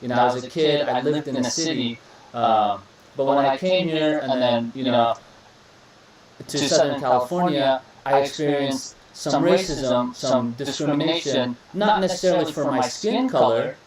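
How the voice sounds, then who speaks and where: A man's voice: mid-range voice, moderate pace, distant mic. Easygoing young man, echoey room, off-mic. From Iraq. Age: young adult. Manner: relaxed, repeats words, says "bro" and "beautiful" often. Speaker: podcast host. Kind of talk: casual interview chat.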